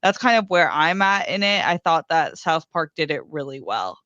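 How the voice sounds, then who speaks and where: A woman's voice: deep voice, sing-song, moderate pace, a bit bright, dry recording, dull audio. A woman co-hosting a casual podcast, thoughtful and a little hesitant. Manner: thoughtful, hesitant, easygoing agreement. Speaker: podcast co-host.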